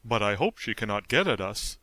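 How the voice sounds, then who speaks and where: A man's voice: lowish voice, big pitch swings, a little fast, pushed and bright, voice pushed down. A man voicing a timid, fearful pumpkin-headed figure in a storybook reading. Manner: worried, plaintive.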